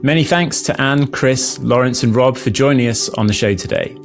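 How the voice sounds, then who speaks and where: A man hosting an interview in a polite, measured and slightly hesitant manner. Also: medium pitch, gravelly, faint music behind. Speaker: host.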